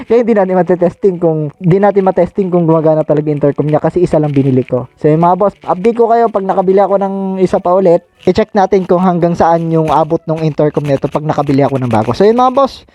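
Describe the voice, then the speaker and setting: A man chatting casually to viewers in a halting, informal product review. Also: a little high, warm tone, no room sound. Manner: informal, halting, repeats catchphrases to address viewers. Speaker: vlogger reviewing gear.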